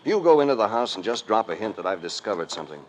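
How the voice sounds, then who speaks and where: An actor playing a cool, commanding investigator in an old radio mystery, with terse and authoritative delivery. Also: male voice, lowish voice, very animated, fast, slight background noise. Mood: urgent. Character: cool, commanding male investigator, hard-boiled and shrewd. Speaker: lead actor and narrator. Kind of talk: 1940s-50s radio detective acting. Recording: vintage radio drama recording.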